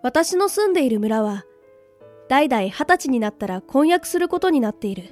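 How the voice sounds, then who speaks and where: Very high, cute teenage girl, played by a woman; bright, wistful radio-drama heroine with music behind. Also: sing-song, normal speed. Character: bright, wistful 19-year-old village girl, kind big sister. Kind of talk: Japanese audio drama acting with monologue.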